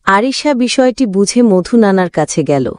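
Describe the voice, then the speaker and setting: A woman narrating an animated moral story in an even, didactic storytelling manner. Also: medium pitch, measured pace, no echo, clean studio sound.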